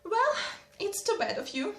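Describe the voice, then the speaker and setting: A woman reading as a fussy, quick-tempered noble mother who scolds and exclaims. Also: very high-pitched, big pitch swings, a bit bright, pitched above the performer's own voice, heavily acted. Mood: chiding. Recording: roomy echo.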